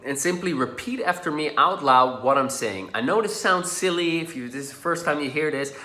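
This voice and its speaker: A man's voice: a little high, expressive. A man whose first language is Dutch, talking casually and encouragingly as a coach leading a guided tapping lesson. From Netherlands. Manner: casual, encouraging, drops to soft and slow when guiding. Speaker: social anxiety coach. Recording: echoey room.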